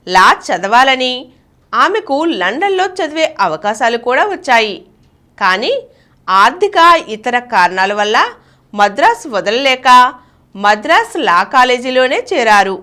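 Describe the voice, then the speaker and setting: A woman's voice: a little high, a bit bright. A woman reading a scripted narration in a storytelling style.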